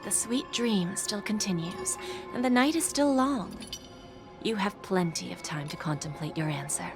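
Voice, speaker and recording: A woman playing a shrewd, enigmatic dealmaker in a game cutscene, unhurried and self-assured. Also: medium pitch, expressive, lightly acted. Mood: patient, sly. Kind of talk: dramatic video game cutscene dialogue. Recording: music under the voice.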